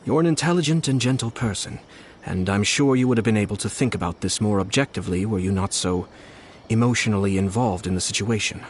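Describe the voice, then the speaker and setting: An actor playing a shrewd young nobleman in a video game, speaking in a measured, formal, diplomatic way. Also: lowish voice, slight background noise, natural voice. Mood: frank, gentle. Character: composed, shrewd young nobleman and commissioner, courteous strategist.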